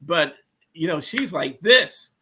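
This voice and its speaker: High-pitched, bright-voiced emphatic man. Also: takes it slowly, slight background noise. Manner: animated, emphatic, repeats himself. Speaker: guest panelist. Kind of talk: casual opinionated panel discussion.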